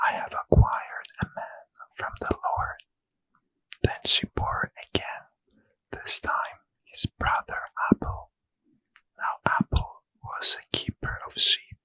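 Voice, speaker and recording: A man's voice: no room sound, low-quality recording. A man whispering a Bible passage in ASMR style, close to the mic. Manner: soft unvoiced whisper, measured. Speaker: reader.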